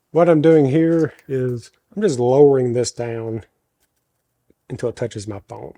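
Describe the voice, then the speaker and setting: A deep, mellow-voiced man with a country American accent walks through a hands-on workshop build, muttering and correcting himself as he goes. A slight cold roughens his speech. His tone is warm, low and unhurried. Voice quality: naturally very deep voice. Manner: talks to himself while working, self-correcting. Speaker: host showing a woodworking project. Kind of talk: casual workshop tutorial, thinking aloud.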